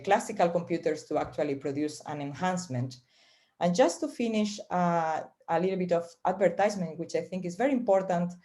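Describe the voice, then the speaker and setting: A woman's voice: lowish voice, darkish tone. A woman finishing an online conference presentation, talking with many hesitations and fillers. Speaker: conference speaker. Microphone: video call.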